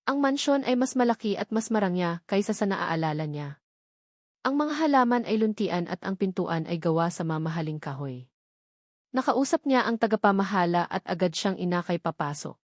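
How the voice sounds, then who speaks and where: A woman's voice: lowish voice, animated, normal speed, no echo, clean studio sound. A woman with a Filipino accent reading a scripted, dramatic romance story as narrator.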